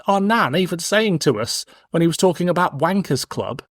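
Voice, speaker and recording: A man's voice: on the high side, lively intonation, moderate pace, dry recording, studio quality. A man in his forties with a British accent, hosting a podcast in a casual, cheeky, frank chat. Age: 40s. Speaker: podcast host.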